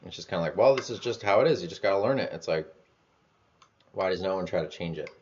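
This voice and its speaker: A man with a very low voice muses out loud in a relaxed, rambling flow, packing his talk with fillers and a casual curse now and then. The sound is dull and lo-fi. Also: quick pace, a little hiss or hum. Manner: loose, filler-heavy, swears casually. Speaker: vlogger.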